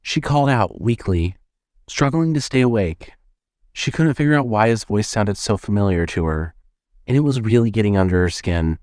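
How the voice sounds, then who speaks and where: A man's voice: on the low side, animated, normal speed, a little mellow, dry recording, studio quality. A man giving scripted narration as the narrator of a fiction podcast.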